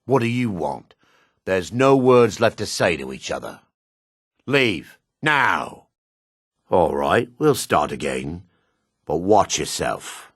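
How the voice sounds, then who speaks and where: Husky male dark elf, widely swinging pitch. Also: big pitch swings, takes it slowly, dry recording, clean studio sound, heavily acted. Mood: cold, hostile. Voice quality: raspy, husky. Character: male dark elf townsman, dry, gruff, changeable. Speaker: video game voice actor. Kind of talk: fantasy video game character lines.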